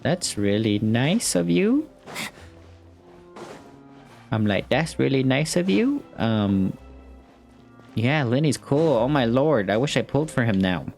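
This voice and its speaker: A man's voice: mid-range voice, very animated, slow, gravelly, long pauses, darkish tone. A man giving casual, slangy gameplay commentary as a video host, sometimes reading game text aloud. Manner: slangy, informal. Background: game music.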